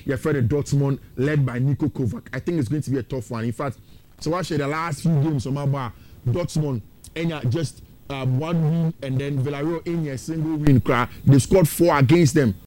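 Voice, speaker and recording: Ghanaian guy doing football betting previews, voice a bit high, opinionated and chatty, mixes in local words. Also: moderate pace, a little mellow. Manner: conversational, opinionated, switches into local-language phrases. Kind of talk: sports preview commentary.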